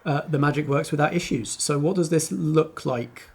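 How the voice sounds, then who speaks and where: A man acting as game master, narrating a tabletop roleplaying game descriptively, with deliberate pauses between phrases. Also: a little high, creaky, crowd noise.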